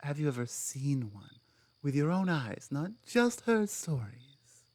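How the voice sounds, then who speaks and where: A woman playing a strong, proud, stubborn female orc in an ASMR roleplay, speaking earnestly and firmly with deliberate pauses. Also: deep voice, big pitch swings, a little mellow, no echo, heavily acted. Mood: somber, reflective. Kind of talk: ASMR audio roleplay, one-sided dialogue.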